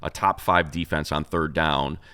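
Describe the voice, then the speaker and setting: A man's voice: extremely low pitch, steady tone, measured pace, bright, forceful tone, clean tone. An American man hosting a sports show, talking through analysis in a casual, emphatic, conversational way.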